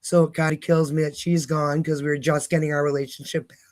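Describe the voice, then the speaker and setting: A woman hosting a livestream who talks candidly about recovery, her voice hoarse and fading, rambling with many fillers. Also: low voice, little pitch movement, fast, a little mellow. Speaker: livestream host. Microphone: phone.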